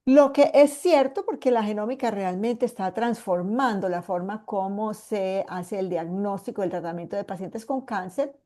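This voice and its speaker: A woman in her fifties from Colombia with a Paisa accent, a geneticist giving an online presentation and explaining patiently while pausing to find her words. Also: mid-range voice, warm tone. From Colombia (Medellín). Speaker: geneticist and guest speaker. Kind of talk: online presentation to a support group.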